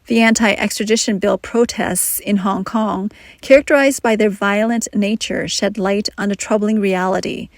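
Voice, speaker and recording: A woman narrating a news report in a measured, scripted reading. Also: medium pitch, fairly even intonation, dry recording, studio quality.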